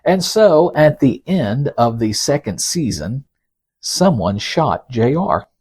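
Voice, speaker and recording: A man's voice: mid-range voice, very animated, takes it slowly, a little mellow, husky. A man hosting a podcast, telling scripted stories in a conversational, folksy way with teasing asides to the listener. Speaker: podcast host and narrator. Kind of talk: conversational scripted storytelling, reading quotes.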